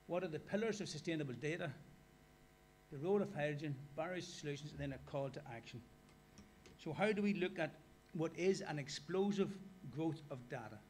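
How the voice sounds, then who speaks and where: Irish male lecturer, fairly high voice, chatty, quizzes the room, light hum. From Ireland. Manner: conversational, asks the audience questions. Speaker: guest lecturer. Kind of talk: workshop lecture. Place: lecture hall.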